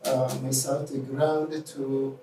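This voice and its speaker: A middle-aged man from Somalia with a Somali accent, telling his own story haltingly in an interview. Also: medium pitch, flat delivery. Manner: halting, pieces sentences together. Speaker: interview guest, nurse and non-profit founder. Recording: roomy, distant mic, faint noise.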